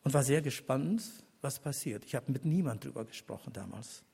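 An older man, a church elder, giving an earnest personal testimony as a sermon, speaking deliberately with pauses and rising to emphatic appeals to the congregation. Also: mid-range voice, lively intonation, creaky, a little mellow, breathy edge.

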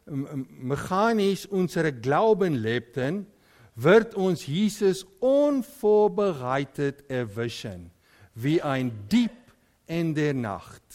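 A man preaching a sermon in German with an Afrikaans accent, Afrikaans being his first language, often pausing and searching for words. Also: a little high, big pitch swings, a little mellow.

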